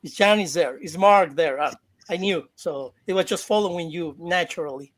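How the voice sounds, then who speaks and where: A man's voice: fairly high pitch, a bit bright. Cheerful Mexican man, Spanish native speaker. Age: 40s. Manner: halting, repeats words, laughs often. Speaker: interview guest. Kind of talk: casual interview.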